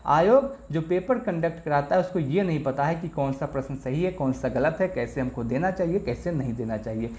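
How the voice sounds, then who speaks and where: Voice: medium pitch, lively intonation, a little fast, few pauses, a little mellow, a little hiss or hum. A presenter explains things informally, addressing listeners directly and repeating points. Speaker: exam news presenter. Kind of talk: informal explainer on exam results. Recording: echoey room.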